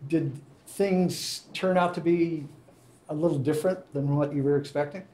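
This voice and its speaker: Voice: mid-range voice, fairly even intonation, moderate pace, dark tone. A host introducing club members and asking them friendly questions at a meeting. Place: meeting room.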